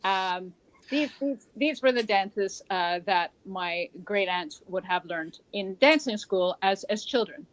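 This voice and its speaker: A woman's voice: medium pitch, slow pace, no echo, poor audio. A woman with a Canadian accent, an interview guest who talks in a chatty, digressive way and corrects herself. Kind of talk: conversational interview.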